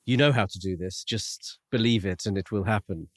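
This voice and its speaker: A man's voice: lowish voice, fairly quick, no echo, clean studio sound. A man co-hosting a podcast, musing aloud about a book in a casual, conversational way. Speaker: podcast co-host. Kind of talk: book discussion, casual analytical chat.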